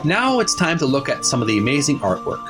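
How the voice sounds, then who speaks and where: A man hosting a hobby show, describing illustrations in a casual, unscripted way, halting and rambling as he searches for words. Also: mid-range voice, very animated, music in the background. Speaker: host of a retro video game art book show.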